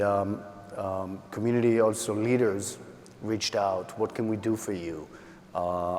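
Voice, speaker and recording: A man's voice: fairly low pitch, darkish tone. A man, a guest at a live event, speaking hesitantly with many fillers. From Israel. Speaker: guest, parent of a hostage. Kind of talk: onstage conversation. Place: large event hall. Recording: reverberant hall with faint noise.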